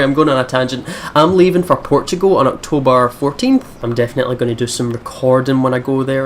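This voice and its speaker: A man's voice: medium pitch, a little hiss or hum. A man giving casual, rambling gaming commentary with slang and swearing, sometimes reading text aloud. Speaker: let's play host. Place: at home at a computer setup. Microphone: desk microphone.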